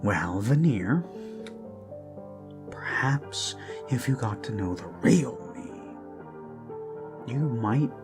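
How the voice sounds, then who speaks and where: A man voicing an obsessive, menacing yandere kidnapper in an intimate ASMR roleplay, speaking in halting, creepy murmurs that break into sudden emphasis. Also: mid-range voice, animated. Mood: brooding, intimate. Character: obsessive male yandere kidnapper, gushing, menacing, self-abasing zealot. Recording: background music under close voice. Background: music.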